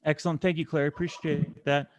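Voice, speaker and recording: A man chairing a committee meeting who speaks deliberately, with many fillers and restarts. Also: on the high side, level tone.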